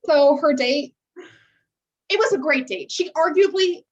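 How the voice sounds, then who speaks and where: American woman, highish voice, casual slangy podcast talk, emphatic, a bit lo-fi. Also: a little slow. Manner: emphatic, uses swearing and slang. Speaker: podcast co-host. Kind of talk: casual chat about a TV dating show.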